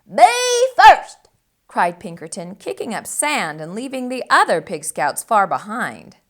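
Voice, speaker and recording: A woman hosting a storytime, reading a children's book aloud in an animated style for young listeners. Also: medium pitch, normal speed.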